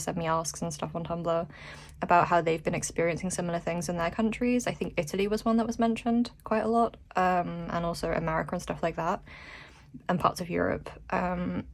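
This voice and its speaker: A British woman with a fairly low voice and plenty of vocal fry gives a casual vlog update. She talks informally and rambles along, with a self-deprecating way of putting things. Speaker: vlogger and small shop owner.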